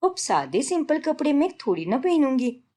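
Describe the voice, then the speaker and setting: A woman's voice: sits high, sing-song, normal speed, mellow, pitched above the performer's own voice. A woman voicing a showy, boastful young newlywed daughter-in-law in a story, insistent and bragging, dropping in English words. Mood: smug.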